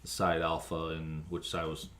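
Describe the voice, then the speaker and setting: An American man, a fire officer being interviewed, recounting events haltingly with frequent ums and pauses. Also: extremely low pitch, completely flat delivery, a bit bright. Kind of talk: spoken account of an emergency call, interview.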